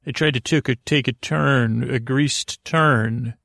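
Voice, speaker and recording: Creaky-voiced man, rambling and gentle. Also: medium pitch, fairly even intonation, no echo, clean studio sound. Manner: meandering, halting, self-interrupting; gentle, lulling. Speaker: podcast host. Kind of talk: rambling bedtime monologue.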